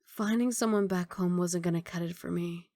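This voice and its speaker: Casting: actress as wistful female mafia boss girlfriend for ASMR roleplay. Soft, gravelly, guarded but loving, very dry close sound. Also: on the low side, moderate pace, no echo, lightly acted. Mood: guarded, matter-of-fact. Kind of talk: intimate ASMR roleplay monologue.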